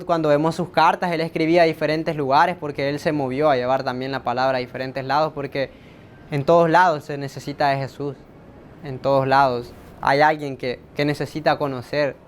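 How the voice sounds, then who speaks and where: A Salvadoran man with a slightly high voice speaks in a casual interview. He is reflective, repeating words as he shares his testimony. There is a faint low rumble behind him.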